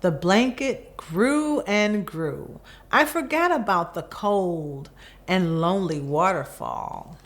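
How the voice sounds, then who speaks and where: Female children's story reader, slightly low-pitched, expressive, very slow and deliberate delivery. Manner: expressive, deliberate phrasing with pauses. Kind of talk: children's picture book read-aloud.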